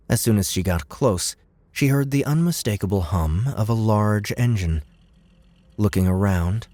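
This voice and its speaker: A man's voice: low voice, mellow. A man reading dramatic scripted narration as an audiobook narrator.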